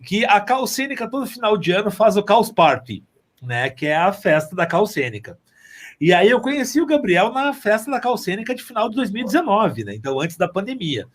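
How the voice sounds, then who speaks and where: High-pitched, talkative man from the south. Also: very animated, brisk. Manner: rambling, talkative, joking. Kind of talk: casual joking chat.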